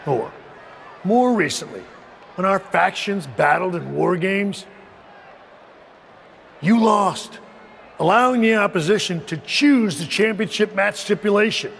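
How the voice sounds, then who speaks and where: A domineering faction leader from a wrestling video game lays down orders in an unhurried, gravelly voice. He talks down to his teammate with cold, measured condescension. A faint hiss of noise sits behind him. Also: high voice, lively intonation, fairly bright tone, full character voice. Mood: menacing.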